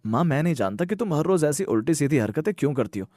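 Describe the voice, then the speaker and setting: A man's voice: fairly high pitch, very animated, fast talker, dark tone, no echo, clean studio sound. A man voicing an earnest, dutiful grown son and exasperated husband, speaking emphatically in a Hindi moral story cartoon. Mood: exasperated, angry.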